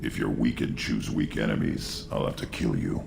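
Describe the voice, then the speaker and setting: A man's voice: fairly even intonation, moderate pace, background noise, exaggerated voice. A man voicing a hulking young alien super soldier in a video game, blunt, proud and menacing in a terse growl. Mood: blunt, defiant. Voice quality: deep gravelly growl. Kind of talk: video game character acting.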